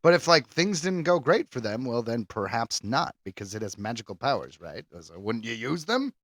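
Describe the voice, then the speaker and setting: A male podcast co-host banters and teases his partner in relaxed chat. His bright voice moves freely in pitch, and the recording is dry and close. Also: dry recording. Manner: teasing, quick banter. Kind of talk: casual chat.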